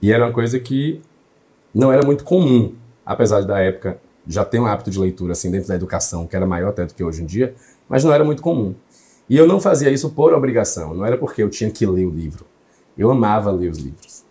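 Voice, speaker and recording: A man's voice: on the low side, expressive, quick pace, dark tone. A young man from Salvador, a journalist guest telling his story fluently and reflectively in an interview. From Salvador, Bahia, Brazil. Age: young adult. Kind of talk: interview conversation.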